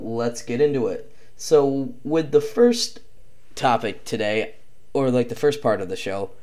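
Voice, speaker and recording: A young man hosting his own podcast, talking casually and rambling with many pauses and restarts, with a slight cough. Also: mid-range voice, darkish tone. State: slight cough, chest feels off. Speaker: podcast host. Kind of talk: casual solo podcast talk.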